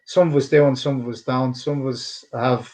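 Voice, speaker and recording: A man's voice: medium pitch, steady tone, normal speed, a little mellow. A middle-aged man with a somewhat polished Dublin Irish accent, a cutman answering interview questions in an earnest, emphatic way, rambling and restarting his sentences. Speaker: boxing and MMA cutman, interview guest.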